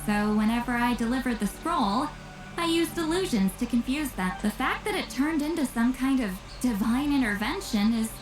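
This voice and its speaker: A woman's voice: on the high side, animated, normal speed, lightly acted. A woman voicing an aloof, reclusive, youthful but centuries-old shaman in a video game, dry, reserved and wistful. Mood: guarded, reflective. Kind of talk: scripted video game voice acting. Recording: roomy echo, game music behind.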